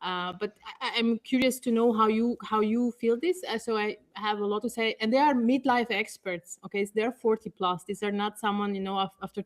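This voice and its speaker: A woman's voice: fairly high pitch, steady tone, brisk, few pauses. Woman strategist explaining on a call. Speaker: co-host, thought leadership strategist. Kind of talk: casual panel conversation. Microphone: video call.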